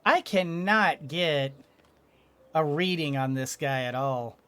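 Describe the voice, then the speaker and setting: A woman's voice: low voice, expressive, takes it slowly, a bit bright, clear, resonant tone, soft background music. A woman giving casual let's play commentary, thinking aloud with hesitant phrasing as she goes. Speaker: gaming streamer.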